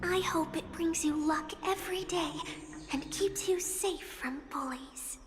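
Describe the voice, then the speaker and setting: A woman voicing a sweet, innocent, affectionate little girl in a video game, softly and gently with a breathy tone. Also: very high voice, unhurried. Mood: tender. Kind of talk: dramatic video game voice acting. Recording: loud music under the voice. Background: game music.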